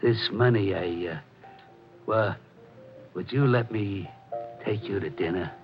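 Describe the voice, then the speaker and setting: An actor playing a big, slow, simple-minded man, halting and plaintive yet menacing, in a 1940s radio drama. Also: deep voice. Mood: timid, earnest. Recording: muffled old radio recording, organ music behind.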